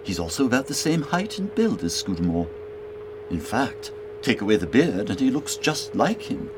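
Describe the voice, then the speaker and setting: An actor playing an observant, commanding and concerned gentleman in an audio drama, speaking precisely with authority. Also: mid-range voice, very animated, moderate pace, background noise, lightly acted. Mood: observing, intrigued.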